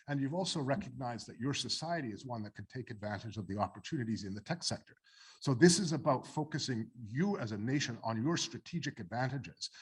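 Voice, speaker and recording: Husky guy on a panel, opinionated, jokey with the crowd, keeps restarting. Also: medium pitch. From Canada. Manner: opinionated, jokes with the audience, restarts often. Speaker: panel speaker. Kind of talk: panel discussion answering questions.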